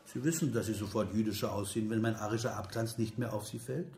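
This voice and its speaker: An actor in a radio play voicing a bitterly sarcastic political cynic of 1930s Germany, with a rounded, rough voice and biting, declamatory delivery. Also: male voice, lowish voice, steady tone, fast, darkish tone, close to an everyday voice. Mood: scornful. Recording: roomy echo, music behind.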